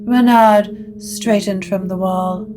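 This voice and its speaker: A woman narrating scripted supernatural fiction in a measured, literary storytelling manner. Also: medium pitch, fairly even intonation, over music.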